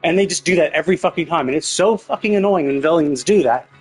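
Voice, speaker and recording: Higher-pitched husky man ranting casually about games. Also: fast talker, darkish tone, soft background music. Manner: opinionated, emphatic, profane. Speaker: game streamer. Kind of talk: casual gaming commentary rant.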